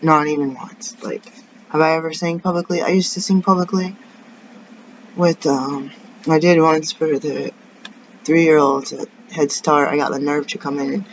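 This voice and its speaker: Raspy deep-voiced woman venting in a rambling vlog. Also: fairly even intonation, moderate pace. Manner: rambling, tangent-prone, venting. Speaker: vlogger. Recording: dull lo-fi sound with faint noise.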